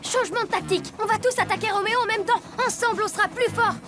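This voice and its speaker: A woman voicing a calm, reassuring superhero boy who leads the team's plans, in a French cartoon dub. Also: very high voice, quick pace. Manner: reassuring, organizing. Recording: loud cartoon music underneath.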